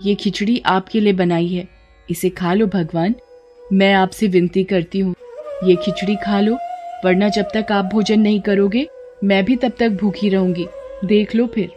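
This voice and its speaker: A woman's voice: medium pitch, fairly even intonation, a little slow, dark tone, close to an everyday voice. Woman playing an innocent, devout village girl, music behind. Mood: pleading, stubborn. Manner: earnest, pleading, simple-hearted. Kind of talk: Hindi devotional story acting.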